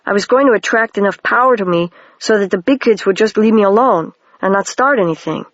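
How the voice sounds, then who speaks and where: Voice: medium pitch, fairly quick, a bit bright, no echo, close to an everyday voice. A reader voicing a shy, earnest young boy who is a wizard, in a mildly raised version of their plain reading voice, on a dry, dull lo-fi home recording.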